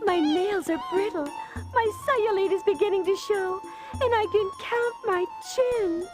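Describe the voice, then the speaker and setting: A woman's voice: very high-pitched, a little slow, over music. A woman voicing a vain, melodramatic ancient queen in a cartoon game. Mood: despairing. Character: vain, melodramatic ancient queen fretting over her looks. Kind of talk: cartoon game character acting.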